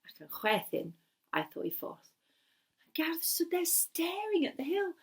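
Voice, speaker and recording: A woman's voice: very high voice, big pitch swings, moderate pace. A woman telling a story bilingually in Welsh and English, dramatic, repeating phrases in both languages.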